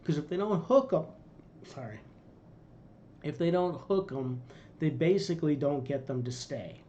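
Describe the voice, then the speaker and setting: Rambling middle-aged man, mellow voice. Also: a little high, unhurried, muffled recording, slight background noise. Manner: rambling, with many fillers and restarts. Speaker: reaction video host. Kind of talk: casual commentary. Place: small room indoors.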